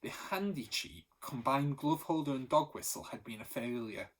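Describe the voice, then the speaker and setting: Male teacher, husky voice, mid pitch, story read-aloud. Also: normal speed. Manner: steady, expressive reading, voice lifting for the characters. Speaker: teacher reading to pupils.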